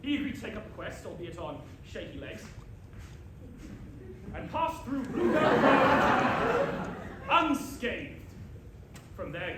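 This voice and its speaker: Casting: male actor as jovial old pantomime wizard-narrator, high swooping voice, hammy, storytelling to a live house. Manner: hammy, exclaiming, drawn-out storytelling. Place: live stage before an audience. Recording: very echoey, noisy live theatre recording.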